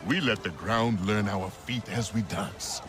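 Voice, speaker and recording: A man's voice: lowish voice, a little slow, lightly acted. An actor playing a jovial, hearty alien clansman welcoming a stranger in a video game cutscene. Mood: jovial, proud. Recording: music behind the voice.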